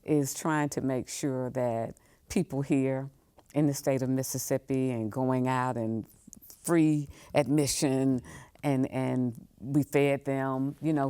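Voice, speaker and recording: A woman's voice: extremely low pitch, mellow, smooth, dry recording. A woman talking as an interview guest, repeating words for emphasis. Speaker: museum leader, podcast guest. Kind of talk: conversational interview.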